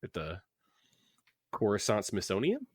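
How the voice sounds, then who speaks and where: Low-voiced man chatting, close dry sound. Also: wildly swooping pitch, measured pace, breathy edge, no echo. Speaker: podcast co-host. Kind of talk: casual book club chat.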